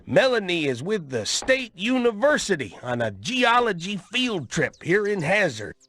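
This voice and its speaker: High-voiced folksy old Southern uncle. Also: male voice, very animated, unhurried, fairly bright tone, slight background noise, full character voice. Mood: welcoming. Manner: folksy, firm. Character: kindly, firm old Southern country uncle. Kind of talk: game cutscene acting.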